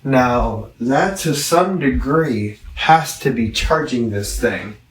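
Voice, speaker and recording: A man giving a casual, rambling product review, halting and correcting himself as he thinks aloud. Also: medium pitch, expressive, slight background noise. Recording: roomy, echoing, distant from the mic.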